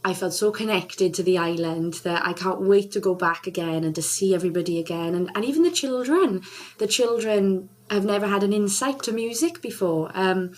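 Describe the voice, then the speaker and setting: A woman's voice: mid-range voice, moderate pace, darkish tone. A young woman from South Wales, a singer and charity ambassador telling a heartfelt story as a radio interview guest, earnest and full of restarts.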